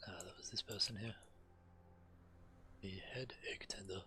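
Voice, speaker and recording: Bright, forceful low-voiced man streaming a game. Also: fairly even intonation, measured pace, a little hiss or hum. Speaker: game streamer reading quest text aloud. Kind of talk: gameplay commentary and quest read-aloud.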